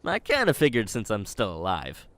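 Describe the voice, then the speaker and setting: A man's voice: fairly low pitch, wildly swooping pitch, normal speed, a bit bright. A man playing a cocky young wasteland companion who talks earnestly and speaks his mind. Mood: concerned.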